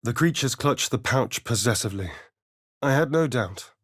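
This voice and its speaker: An actor playing a suave, sardonic vampire rogue in a video game, speaking in an arch, drawling, theatrical manner. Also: male voice, medium pitch, expressive, normal speed, no room sound, studio quality, close to an everyday voice. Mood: musing. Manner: theatrical, arch, drawling, with dramatic swells and hushes. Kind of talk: video game character voice lines.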